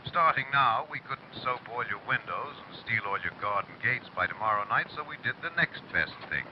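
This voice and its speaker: A droll 1930s radio host played by an actor, mid-pitched and measured, with lively intonation. The recording is dull and faintly noisy. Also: male voice, lightly acted.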